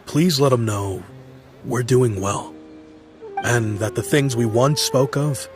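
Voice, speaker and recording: Man playing slow, wistful villager. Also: mid-range voice, natural voice. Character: earnest, wistful man remembering an old friend. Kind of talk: video game voice acting. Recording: game music clearly behind.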